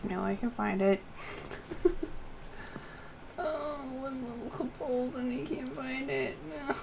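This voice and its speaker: A woman's voice: sits high, slow, creaky, noisy background. A woman streaming a game, giving casual commentary and muttering to herself in short phrases. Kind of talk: casual live gameplay commentary.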